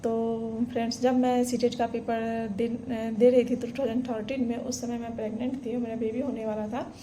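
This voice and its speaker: A woman, talking earnestly and encouragingly to camera. Her voice is mellow and fairly high, yet it stays flat and even. A faint room hum sits behind her. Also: fairly quick. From Bihar, India. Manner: earnest, encouraging, many fillers and restarts. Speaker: vlogger. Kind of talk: casual personal story to camera.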